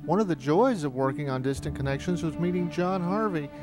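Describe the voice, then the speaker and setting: A man's voice: on the high side, a little fast. A man narrating a reflective, anecdotal documentary retrospective as the filmmaker. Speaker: filmmaker looking back on studio's history. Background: music.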